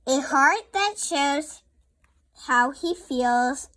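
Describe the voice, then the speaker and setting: Young girl host, high voice, slow and halting storybook read-aloud. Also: animated, a bit bright. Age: child. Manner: careful, halting word-by-word reading. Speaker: young host reading picture books aloud.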